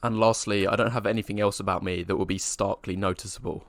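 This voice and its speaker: A young man earnestly describing himself in a scripted monologue, flat and matter-of-fact. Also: lowish voice, fast, creaky, no room sound.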